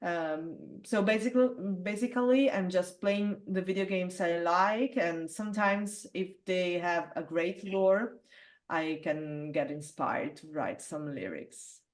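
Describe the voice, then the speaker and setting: Woman with a low alto voice. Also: a little slow. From Italy. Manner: hesitant, many fillers and restarts. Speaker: metal singer, interview guest. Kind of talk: casual interview conversation.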